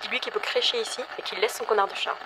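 A woman's voice: fairly high pitch, fairly even intonation, quick pace, background noise. A woman playing a bossy, curt survivor giving orders over a radio in an audio drama. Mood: irritated. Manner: curt, insulting.

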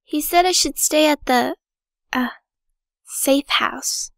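A woman's voice: high-pitched, slow, gravelly, no echo, heavily acted. A woman playing a timid, sweet young girl in an audio drama, speaking with nervous hesitation.

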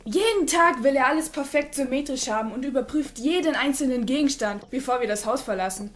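A woman's voice: sits high, fairly quick, a bit bright. A woman playing an exasperated, nervous teenage girl in an anime audio drama, with a nagging big-sister manner.

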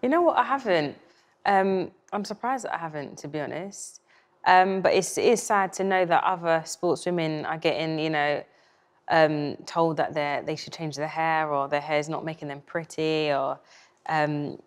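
Low-voiced sportswoman, thoughtful. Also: fairly even intonation, moderate pace. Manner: thoughtful, with hesitant fillers. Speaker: panel guest, sportswoman. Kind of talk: casual panel discussion. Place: bright studio set.

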